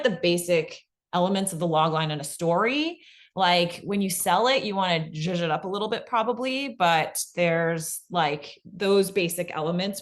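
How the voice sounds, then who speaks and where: A woman presenting in an informal workshop style, conversational and often checking in with the listener as she explains. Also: on the low side.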